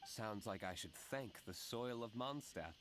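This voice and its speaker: A man playing a composed, courteous young tavern owner in a video game, measured and reserved. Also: lowish voice, expressive, heavy background noise. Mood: calm, polite. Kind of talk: video game character acting.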